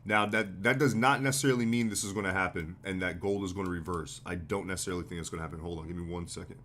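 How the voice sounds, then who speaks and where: American guy, deep voice, kinda hoarse from a cold, laid back trading talk. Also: very fast, a little hiss or hum. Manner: relaxed, loose, slangy explaining with fillers. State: slightly hoarse, getting sick. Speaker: trading livestream host. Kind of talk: casual live market commentary.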